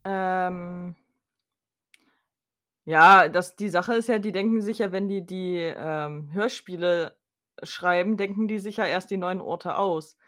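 A woman's voice: on the low side, slow, a bit bright. A woman co-hosting a podcast, musing aloud in casual, unscripted conversation. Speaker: podcast co-host. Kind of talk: casual chat about audio drama episodes.

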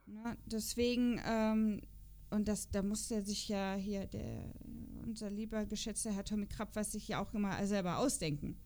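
A woman's voice: mid-range voice, moderate pace, a little mellow, a little hiss or hum. A woman co-hosting a podcast in a casual, unscripted chat, rambling along and often checking for agreement. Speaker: podcast co-host reviewing children's audio dramas.

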